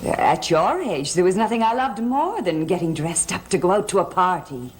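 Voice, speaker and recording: An actress playing a middle-aged, sociable and meddling society matron in a gothic television soap, gushing and coaxing. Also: female voice, fairly low pitch, expressive, moderate pace, slight background noise, lightly acted. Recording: old TV soundtrack with faint music.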